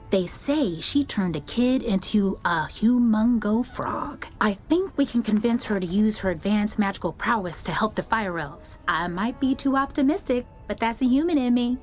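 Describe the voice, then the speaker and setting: Actress as friendly young female wizard, video game, chatty, lively intonation, light music behind. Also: medium pitch, close to an everyday voice. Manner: expressive, chatty, earnest. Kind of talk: video game character acting.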